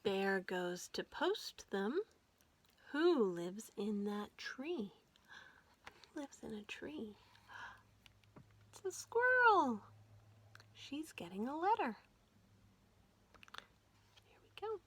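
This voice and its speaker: A woman hosting a children's storytime, reading aloud in an animated, sing-song way and putting questions to young listeners. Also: on the high side, very slow, pauses often, a little hiss or hum.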